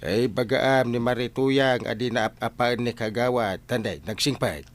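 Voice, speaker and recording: A man's voice: mid-range voice, normal speed, close to an everyday voice. A man playing a doubtful, teasing village friend in an Ilocano comedy radio drama, with an Ilocano accent.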